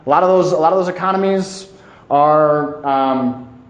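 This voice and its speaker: A man presenting an informal educational talk, speaking emphatically and haltingly, often repeating key phrases for weight. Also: fairly high pitch, lo-fi sound. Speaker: presenter giving a climate talk. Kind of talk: informal educational lecture to a live audience. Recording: echoey room.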